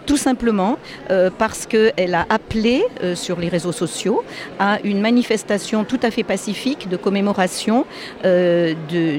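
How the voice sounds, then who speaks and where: A woman who volunteers for a human rights group, earnestly explaining a case step by step. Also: on the low side. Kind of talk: informative explanation. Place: busy public hall.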